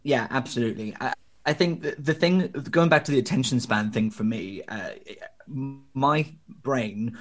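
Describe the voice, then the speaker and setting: A young man with a faint Northern Irish accent, speaking as an interview guest. He talks in a reflective, meandering way, with frequent ums and restarts. His voice sits at an ordinary middle pitch. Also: a little slow. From Northern Ireland. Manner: reflective, rambling with fillers and restarts. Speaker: guest, theatre director. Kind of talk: conversational interview.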